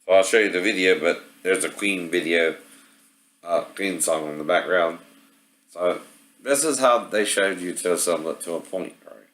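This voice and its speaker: A man's voice: low voice, normal speed, fairly bright tone. A man giving a casual, rambling product walkthrough, often tagging his statements with a questioning "right?". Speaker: vlogger showing product assembly problems. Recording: roomy, echoey home-video sound.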